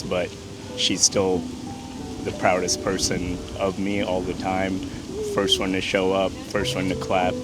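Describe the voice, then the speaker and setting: A man in his late thirties chatting candidly and casually as a street interviewee. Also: deep voice. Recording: loud music under the voice.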